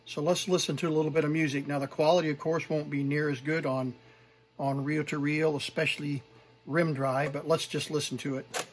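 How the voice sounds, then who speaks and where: A middle-aged man presenting from home, showing vintage gear in an unscripted, halting, informal and appreciative way. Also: a little high, little pitch movement. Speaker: vintage tape recorder collector and presenter. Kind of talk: unscripted hands-on demonstration.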